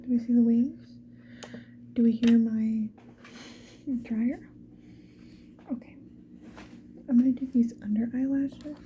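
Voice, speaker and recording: A woman whispering casually and playfully to the viewer in a chatty ASMR get-ready-with-me style, mostly in a breathy, unvoiced whisper. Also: a little high, fairly even intonation, takes it slowly, long pauses, phone-quality sound, a little hiss or hum. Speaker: ASMR video creator.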